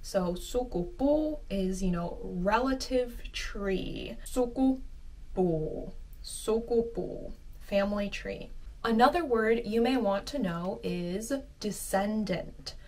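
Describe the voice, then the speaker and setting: A woman hosting her own channel, teaching vocabulary with slow, careful pronunciation of each word. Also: mid-range voice, dark tone, recorded from a distance, poor audio. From Finland and the US. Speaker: language teacher and channel host. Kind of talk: sponsored segment and vocabulary lesson.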